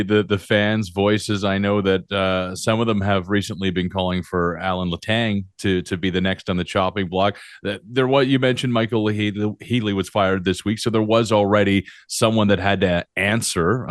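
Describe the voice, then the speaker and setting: Lower-voiced man hosting a sports podcast, halting. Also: steady tone, no echo, clean studio sound. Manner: halting, repeats words while forming questions. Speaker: podcast host and interviewer. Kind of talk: conversational sports interview.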